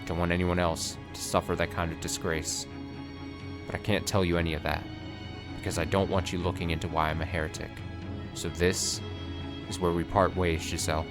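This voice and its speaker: A man voicing a deep, solemn doomed knight. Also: flat delivery, a little fast, over music. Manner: solemn, tender, at times shaky. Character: tormented young male heretic knight, tender and resigned. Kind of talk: dramatic reading of a story.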